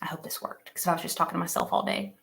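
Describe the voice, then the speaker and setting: A woman's voice: on the low side, flat delivery, very fast, creaky, fairly bright tone, whispered. A woman giving an emphatic, encouraging motivational talk over a video call at a virtual summit. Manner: emphatic, encouraging, addresses listeners as friend. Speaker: summit speaker.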